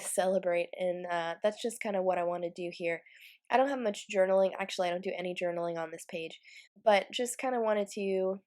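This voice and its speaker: A woman's voice: medium pitch, darkish tone. A young woman with a stuffy, congested voice from a cold, talking casually through a Bible journaling craft video. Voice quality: stuffy nasal. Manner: chatty, with fillers and light laughs. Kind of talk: casual craft tutorial voice-over.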